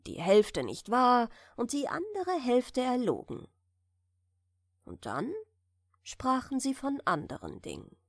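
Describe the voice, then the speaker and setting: A woman gently narrating a bedtime fairy tale in a soft, soothing manner. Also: fairly high pitch, wildly swooping pitch, takes it slowly, dry recording, studio quality. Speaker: audiobook reader.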